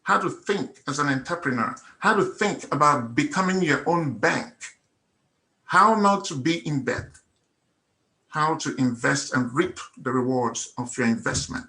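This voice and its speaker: Guy, middle-aged, bright voice, earnest testimony, hammers the same phrase over and over. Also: on the high side, animated, unhurried, poor audio. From Nigeria. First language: Igbo. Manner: earnest, emphatic, repeats phrases for effect. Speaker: testimonial speaker. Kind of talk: personal testimony at a meetup.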